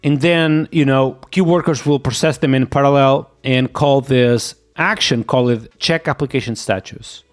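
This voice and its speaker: A man's voice: medium pitch, normal speed, hardly any pauses, faint music behind. Portuguese-accented man thinking aloud. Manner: mutters to himself, repeats "yep" as he reads. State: sick. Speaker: live-coding streamer. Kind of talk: thinking aloud while coding, chatting with viewers.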